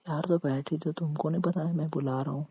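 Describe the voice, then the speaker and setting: A man playing a teasing, romantic, mildly demanding husband in a casual, coaxing at-home conversation, with a husky voice. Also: a little high, fairly even intonation, brisk, no room sound. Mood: insistent, complaining. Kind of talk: intimate Hindi role-played couple conversation. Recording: muffled, lo-fi, dry call-style recording.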